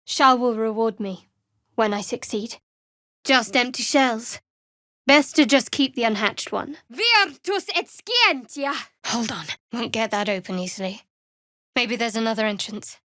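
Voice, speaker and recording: An actress voicing a guarded, sardonic young woman cleric in a video game, with a dry, wry and measured delivery. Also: fairly high pitch, fairly bright tone, no echo. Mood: solemn, then incanting, forceful, then pensive, quiet. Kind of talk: video game character voice acting.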